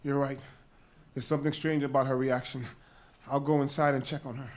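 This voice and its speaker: An actor playing a grave, shaken messenger in a dramatic reading of a Greek tragedy, telling terrible news in a measured, emphatic way. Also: male voice, medium pitch, flat delivery, natural voice. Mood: somber.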